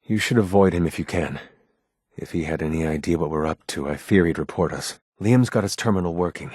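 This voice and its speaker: Deep, husky android rebel leader. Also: male voice, darkish tone, no echo, clean studio sound. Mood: urgent, guarded. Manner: formal, measured, grave. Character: guarded, earnest male android rebel leader. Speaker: video game voice actor. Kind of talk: scripted game character dialogue.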